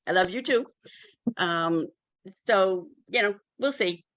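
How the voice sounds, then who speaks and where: A woman's voice: mid-range voice, animated, slow, fairly bright tone, no room sound, low-quality recording. A woman chatting casually in a group as an author, with a candid, wry way of talking. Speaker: memoir author in a community discussion.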